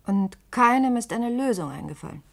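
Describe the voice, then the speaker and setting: An actress in a science-fiction radio play playing a skeptical, sharp-tongued radio journalist with a brisk, sardonic, challenging manner. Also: female voice, fairly high pitch, lively intonation, gravelly. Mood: skeptical, probing.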